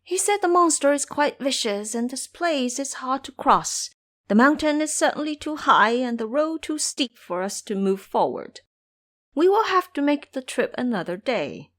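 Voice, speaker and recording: A woman's voice: high voice, very animated, moderate pace, fairly bright tone, pitched above the performer's own voice. A woman voicing a cocky, scheming male monkey warrior in an audio drama, boastful and theatrical. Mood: mock sorrow.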